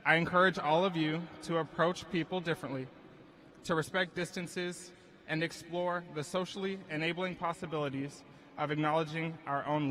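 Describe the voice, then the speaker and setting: A teenage boy delivers a reflective graduation address in a measured, earnest way. His voice is fairly high and bright, with a faint hiss from the stadium sound system behind him. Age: teens. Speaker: student speaker. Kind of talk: prepared ceremony speech. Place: large stadium.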